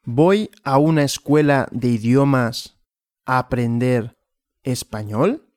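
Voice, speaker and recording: Very slow, deliberate male Spanish teacher. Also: medium pitch, darkish tone, no echo, clean studio sound. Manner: very slow, deliberate, repeating and asking himself questions. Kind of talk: slow graded language lesson with questions and answers.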